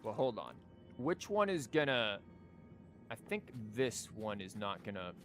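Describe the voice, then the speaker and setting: A man's voice: fairly low pitch, big pitch swings, unhurried, a bit bright. Sarcastic man streaming a game over music. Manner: sarcastic, joking, sweary. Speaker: streamer playing a video game. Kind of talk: casual live game commentary. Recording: music behind the voice.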